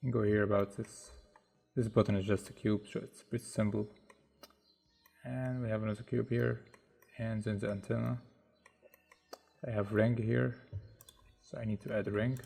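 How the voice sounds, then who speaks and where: Man, low-key tutorial host thinking aloud; husky, mellow voice, soft music behind. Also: flat delivery, normal speed, pauses often. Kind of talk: casual screen-recorded modeling tutorial. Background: soft background music.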